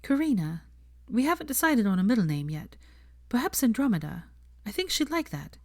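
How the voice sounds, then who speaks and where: A woman's voice: on the high side, big pitch swings, brisk, darkish tone, voice pushed up. A woman reading the part of an earnest, prim young woman who speaks articulately. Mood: chatty.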